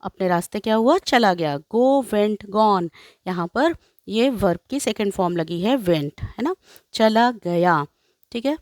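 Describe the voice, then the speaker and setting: Female Indian English tutor. Animated pitch, deliberate pace, repeats and explains each phrase. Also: no room sound, studio quality. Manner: explanatory, repetitive, with frequent check-in tags. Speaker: English teacher. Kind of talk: line-by-line translation lesson.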